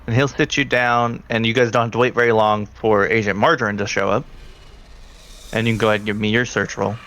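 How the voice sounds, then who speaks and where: A man's voice: fairly low pitch, little pitch movement, fairly quick, fairly bright tone, slight background noise. A man speaking casually as game master, improvising narration for a tabletop role-playing game. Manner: casual, conversational.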